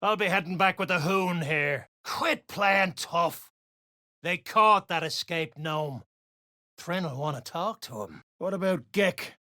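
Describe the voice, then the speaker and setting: An actor voicing a gruff, surly dwarven raider guard in a video game, coarse and slangy, grumbling and barking orders. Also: medium pitch, lively intonation, slow pace, pushed and bright, no echo, clean studio sound. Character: gruff, surly duergar raider guard, rough-tongued underling. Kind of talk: video game character voice lines.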